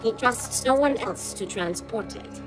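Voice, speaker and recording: A woman's voice: fairly high pitch, a little slow, close to an everyday voice. A woman playing a devoted, secretive insect creature in a video game, with a formal, stylised way of speaking. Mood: devoted, grave. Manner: formal, speaks of self in third person. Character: devoted female insect creature, secretive servant of dark lord. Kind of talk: dramatic video game acting. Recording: music behind the voice.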